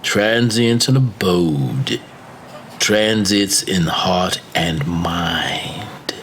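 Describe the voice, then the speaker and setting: A man narrating a classic macabre story as an audiobook, with measured, deliberate phrasing. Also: low voice, fairly bright tone, a little hiss or hum. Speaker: story reader.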